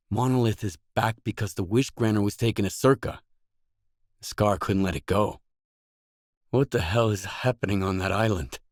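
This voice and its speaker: A man's voice: on the low side, darkish tone, dry recording, studio quality, natural voice. A man voicing a wary, blunt male loner in a video game, speaking in terse, matter-of-fact lines and questions.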